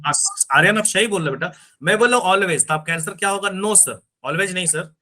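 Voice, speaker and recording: A man's voice: high-pitched, lively intonation, a little fast, sharp tone. A man teaching physics in an online class, explaining step by step and often checking that his students understand.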